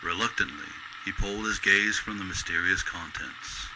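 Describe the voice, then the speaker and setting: Male narrator, very low pitch, measured storybook delivery, old-time radio drama style, noisy ambient effects behind.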